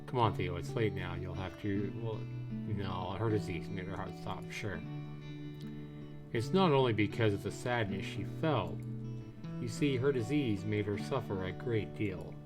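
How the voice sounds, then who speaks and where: A man voicing a gentle young father who patiently consoles his son, reading visual novel dialogue. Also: on the low side, a little slow, natural voice. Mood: consoling. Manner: patient, soothing explaining. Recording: music behind the voice. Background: guitar music.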